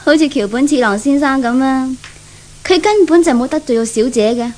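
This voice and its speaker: A woman plays a frank, gossipy maid in a 1970s radio play. She speaks in a high voice with a Hong Kong Cantonese accent, grumbling like a long-suffering servant. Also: a little fast, a little mellow, lightly acted. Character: outspoken, gossipy maidservant who grumbles about her mistress.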